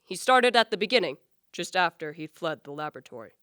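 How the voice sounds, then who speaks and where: A woman's voice: on the low side, big pitch swings, fairly quick, sharp tone, clean tone, dry recording, clean studio sound. A woman acting a haughty, guilt-ridden male scientist in a radio play, with formal, declamatory literary speech. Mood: heavy-hearted. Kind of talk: dramatic radio play acting with first-person narration.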